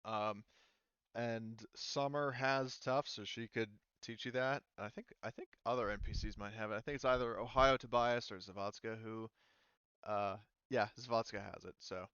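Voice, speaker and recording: Guy gm w a low smooth voice, dry sound, lots of ums. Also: moderate pace, no echo, muffled recording. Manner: relaxed, many ums and restarts. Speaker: game master. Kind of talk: casual tabletop game chat.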